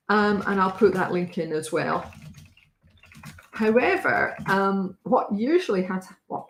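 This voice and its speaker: A woman hosting a casual talk with a wry, chatty manner and a slightly hoarse voice, often pausing with fillers. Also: medium pitch, echoey room. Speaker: host. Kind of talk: casual live-stream talk.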